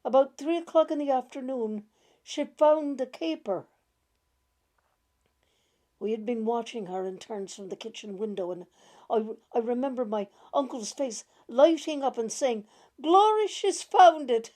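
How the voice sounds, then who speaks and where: A woman gently reading a literary short story aloud in a measured, deliberate way. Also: fairly high pitch. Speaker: reader of short stories.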